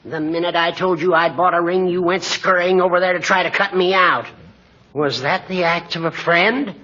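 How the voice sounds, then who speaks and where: An actor playing a huffy, formal older judge, stiff, wordy and indignant, in 1940s radio comedy style, with a husky, reedy voice. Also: male voice, high-pitched, fairly even intonation, moderate pace, bright, forceful tone. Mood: accusing. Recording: muffled 1940s radio recording.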